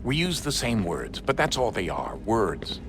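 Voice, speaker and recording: An actor playing a stern, world-weary middle-aged father in a video game, speaking in a measured, matter-of-fact way. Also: lowish voice, huge pitch swings, background noise, exaggerated voice. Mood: firm. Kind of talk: video game cutscene acting.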